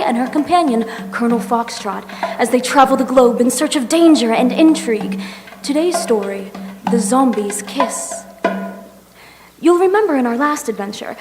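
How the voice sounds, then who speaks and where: Excited adventure-serial announcer played by a woman, old-time radio grandeur, echoey hall, faint noise. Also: high-pitched. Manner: grand, melodramatic.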